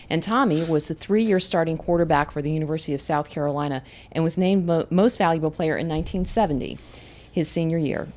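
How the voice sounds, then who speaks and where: A woman's voice: sits low, a little mellow. A woman hosting a community podcast, introducing guests by reading their backgrounds and asking friendly questions. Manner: reads guest bios, friendly. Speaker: podcast host. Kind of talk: podcast interview.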